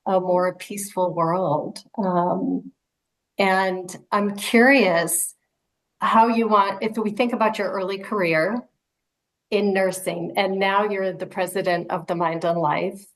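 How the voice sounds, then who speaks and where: A woman's voice: mid-range voice, steady tone, slow, poor audio. A woman in her sixties hosting an interview over a video call, asking long questions full of fillers and restarts. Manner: warm, rambling questions with fillers. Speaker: interviewer, host. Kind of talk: casual interview. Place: small room at home.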